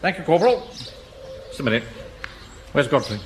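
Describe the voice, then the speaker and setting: An actor playing a pompous, bossy and impatient English Home Guard captain in a British radio sitcom, with a blustering manner. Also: male voice, fairly high pitch, very animated, brisk. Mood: commanding. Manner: pompous, barking orders, blustering. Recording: noisy old radio comedy recording.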